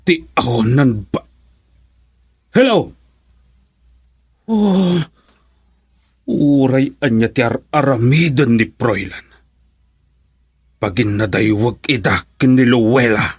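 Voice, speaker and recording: A man's voice: mid-range voice, lively intonation, slow, long pauses, slightly rough, no echo, studio quality. An actor with a Filipino Ilocano accent playing a stern, wealthy, controlling father who scolds indignantly in a radio drama. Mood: angry.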